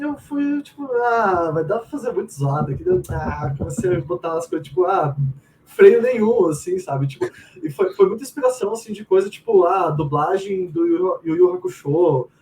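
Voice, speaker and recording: A Brazilian man with a notably high, very lively voice talks informally as an interview guest, full of slang and quoted asides. He sounds set back from the microphone, with faint noise around him. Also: moderate pace. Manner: informal, slangy, often quoting own thoughts. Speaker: game writer, interviewee. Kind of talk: casual chat, animated anecdotes. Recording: distant mic with faint room noise.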